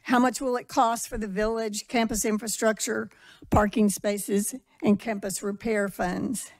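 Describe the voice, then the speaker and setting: A woman's voice: mid-range voice, level tone, dry recording. Woman asking pointed questions at a podium. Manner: measured, questioning. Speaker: public commenter. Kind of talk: prepared public comment. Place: large public meeting hall. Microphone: podium microphone.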